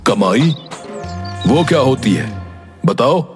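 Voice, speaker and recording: A man voicing a slow-witted but kindly village wrestler with a simple, plain-spoken manner in an animated folk tale. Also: mid-range voice. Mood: naive. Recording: music behind the voice.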